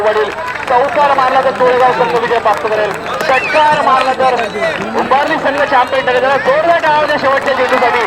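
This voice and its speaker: A man giving live, informal cricket commentary at a village tournament, often repeating himself and addressing those around him. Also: extremely high pitch. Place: outdoor cricket ground. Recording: noisy outdoor event with loud crowd. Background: crowd and outdoor ambience noise.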